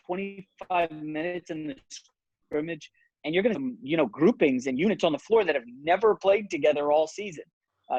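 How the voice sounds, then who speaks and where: Higher-pitched man talking sports, slightly dull audio. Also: dry recording. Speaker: sports betting commentator, interview guest. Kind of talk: casual sports talk, opinionated analysis.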